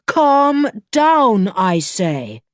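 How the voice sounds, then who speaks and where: A sensible boy from a storybook, voiced by a woman, firm and urgent. He takes charge with an authoritative, bossy manner and a very animated delivery. The recording is dry. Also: fairly high pitch, very slow, dry recording, voice pushed up, exaggerated voice. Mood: firm, commanding. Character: sensible, bossy boy, leader of young friends.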